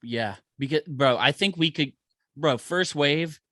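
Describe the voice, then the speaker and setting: A man co-hosting a podcast in casual chat, animated and saying "bro" and "dude" a lot. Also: on the high side, measured pace, dry recording, clean studio sound. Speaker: podcast co-host.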